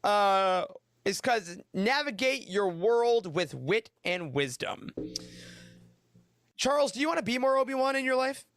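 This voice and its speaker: High-pitched male host, chatty and upbeat. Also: animated, measured pace, fairly bright tone, no room sound. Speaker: podcast host. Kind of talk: casual news roundup chat.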